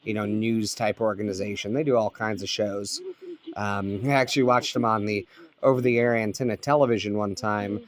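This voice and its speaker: A man's voice: fairly low pitch, steady tone, moderate pace. A man chatting casually and thinking aloud as a hobbyist host, in a laid-back way. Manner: laid-back, musing aloud in short remarks. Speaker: vlog host, shortwave radio hobbyist. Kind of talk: casual chat while scanning the radio. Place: home radio room, indoors.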